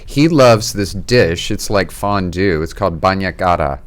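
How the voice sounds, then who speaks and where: A man's voice: sits low, lively intonation, a little slow. A man, a priest hosting a podcast, chatting casually over food, explaining dishes with opinionated relish. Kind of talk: casual chat while tasting food.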